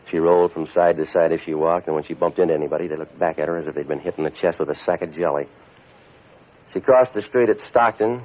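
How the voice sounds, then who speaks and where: An actor playing a cynical, tough-guy hired investigator in a 1940s radio noir, deadpan and sardonic as he talks and narrates. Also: deep voice, lightly acted. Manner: deadpan, sardonic, hard-boiled. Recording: muffled 1940s radio recording.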